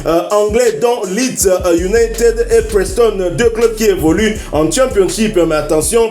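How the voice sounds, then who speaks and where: A man with a high voice reads a football news roundup in a lilting, sing-song cadence, stopping now and then on fillers. His voice rings in a roomy, echoey space, and faint music plays underneath. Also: measured pace, darkish tone. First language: not English. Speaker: sports news presenter. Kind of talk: read football news bulletin.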